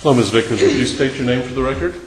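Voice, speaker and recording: A man's voice: medium pitch, rapid-fire, crowd noise. A man questioning a witness at a hearing in a plain, methodical way. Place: hearing room. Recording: echoey room with background noise.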